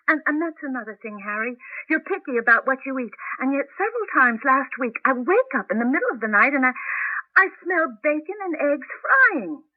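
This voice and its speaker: An actress playing a loving, worried wife who feels shut out, in an earnest 1980s radio drama style with halting repeats. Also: female voice, high-pitched, big pitch swings, no echo. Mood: wounded. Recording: dull vintage radio broadcast.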